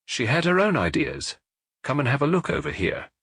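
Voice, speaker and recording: Man voicing a chatty, animated bookshop owner. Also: on the high side, normal speed, gravelly, husky, no room sound, studio quality, voice pushed up, full character voice. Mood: chatty, forthcoming. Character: talkative Parisian bookshop owner recalling a reserved customer. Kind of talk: audiobook character dialogue.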